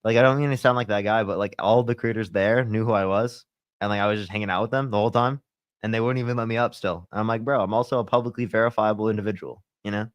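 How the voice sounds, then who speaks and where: A man's voice: fairly low pitch, fairly even intonation, quick pace, dry recording, highs cut off. A young man hosting a casual livestream, answering chat in a laid-back way full of fillers, a little congested with a sore throat. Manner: laid-back, filler-heavy, lots of "like" and "you know".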